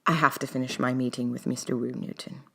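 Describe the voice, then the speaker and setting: A woman's voice: extremely low pitch, level tone, quick pace, vocal fry, husky, voice pushed down, heavily acted. Woman playing a stiff, proud male academic. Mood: quietly contrite. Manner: formal, clipped, stiffly polite. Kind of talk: dramatic fiction reading.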